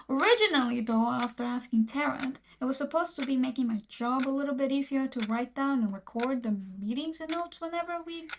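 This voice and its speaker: High-pitched, fussy manor secretary played by a woman. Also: fairly bright tone. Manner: polite but easily irritated, slips into Spanish. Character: fussy, exasperated female manor secretary, bilingual, quick to scold. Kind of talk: radio drama acting, recorded-diary monologue. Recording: noisy cassette-style recording with clicks.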